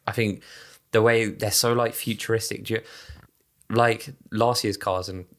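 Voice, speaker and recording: Guy with a low voice rambling casually on a podcast, stumbles and restarts a lot. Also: fairly even intonation, unhurried, a bit bright. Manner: chatty, many fillers and restarts. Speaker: podcast co-host. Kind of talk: casual chat about racing.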